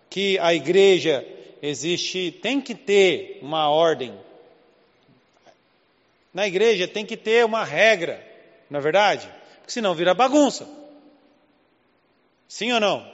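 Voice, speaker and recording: A man's voice: high voice, expressive, slow, pauses often, big-room sound, highs cut off. A man from Southeast Brazil with a Southeast Brazilian accent, preaching a conversational sermon full of rhetorical questions. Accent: Southeast Brazilian Portuguese. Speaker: pastor. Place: church hall.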